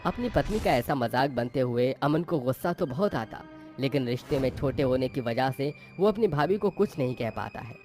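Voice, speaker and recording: Man, mid-pitched voice, scripted storytelling narration, cartoon moral tale, soft music bed. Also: animated, moderate pace.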